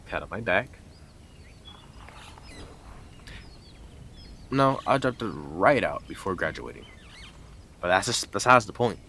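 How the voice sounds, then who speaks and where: Man acting a deep-voiced, smug, lovestruck stalker. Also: sing-song, moderate pace, bright, forceful tone, slight background noise, exaggerated voice. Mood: earnest, boastful. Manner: blunt, sarcastic, teasing. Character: blunt, smug, lovestruck male stalker, teasing and devoted. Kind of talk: intimate roleplay audio drama monologue.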